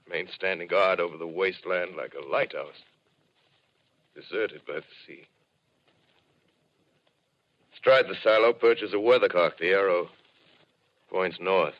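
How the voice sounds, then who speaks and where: Haunted wasteland wanderer, low voice, measured literary delivery, dull 1930s radio recording. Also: male voice. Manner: solemn, measured, literary phrasing. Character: weary, educated male survivor wandering a ruined land. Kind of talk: 1930s radio drama acting.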